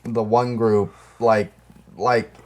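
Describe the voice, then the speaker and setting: A man's voice: lowish voice, level tone, takes it slowly, a little mellow. A man co-hosting a podcast, chatting casually about movies and joking around with riffs. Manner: joking, riffing with mock quotes. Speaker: podcast co-host.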